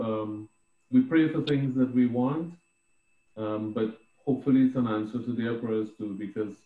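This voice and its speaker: Man on a tinny, echoey phone line. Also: medium pitch. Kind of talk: casual group video call chat.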